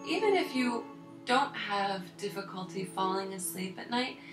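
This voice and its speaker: A woman hypnotherapist giving a calm coaching talk about sleep, soothing and unhurried, her voice a little tired and deeper than usual. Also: roomy sound, over music. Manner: soothing, unhurried, repeats words for emphasis.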